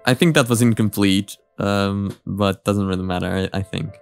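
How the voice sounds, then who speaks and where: A man's voice: on the low side, fairly even intonation, normal speed, creaky, faint music behind. A man hosting a reaction video with casual, rambling commentary, hesitant and often repeating his words.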